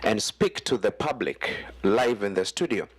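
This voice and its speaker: A man's voice: on the low side, lively intonation, unhurried, husky. A man from Kenya with a Kenyan accent, asking the panel a long, formal question from the floor. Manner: formal, building his point. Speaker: audience member asking a question.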